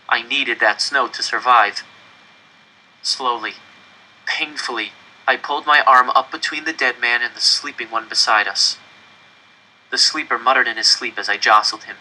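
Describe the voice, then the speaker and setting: Male audiobook narrator, very bright and intense tone, expressive measured delivery, faint background noise. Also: medium pitch, rough recording. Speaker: audiobook reader. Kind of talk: dramatic first-person story narration.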